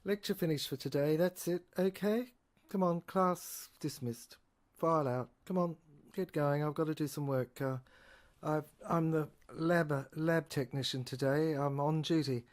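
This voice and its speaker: A woman playing a rambling, sardonic lab technician who lectures haltingly in an audio drama, repeating and correcting herself and asking rhetorical questions. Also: deep voice, darkish tone. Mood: brisk, dismissive. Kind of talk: improvised-sounding lecture monologue in an audio drama.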